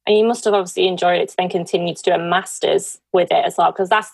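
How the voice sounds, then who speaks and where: A woman's voice: fairly low pitch, fairly even intonation, fast, gravelly, slightly rough, dry recording. A woman hosting a podcast in a casual, conversational way, effusive and encouraging. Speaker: podcast host.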